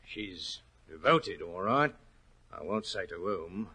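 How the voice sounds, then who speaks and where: An actor playing a plain-spoken horse trainer in a 1940s radio drama, on a noisy vintage recording. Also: male voice, low-pitched, expressive, slow pace, sharp tone, breathy edge, full character voice. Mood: earnest, worried. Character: gruff, bold English head horse trainer, respectful to gentlemen.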